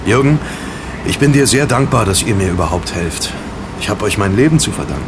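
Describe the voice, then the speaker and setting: An actor in an audio drama playing a grateful, eager young investigator with an enthusiastic manner. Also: male voice, on the low side, brisk, natural voice. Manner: animated, enthusiastic. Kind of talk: German audio drama dialogue. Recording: clear street and traffic ambience. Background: outdoor city street with vehicles.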